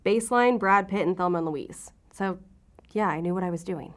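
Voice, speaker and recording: An actress playing a guarded, defiant law-enforcement agent in an audio drama, with a sarcastic, defensive way of talking. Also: female voice, fairly low pitch, fairly quick, lightly acted. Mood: brazen, defensive.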